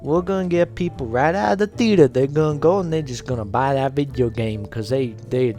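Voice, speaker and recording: A man's voice: medium pitch, fairly quick, mellow, lightly acted. Man putting on a smug, greedy studio businessman. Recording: background music under voice.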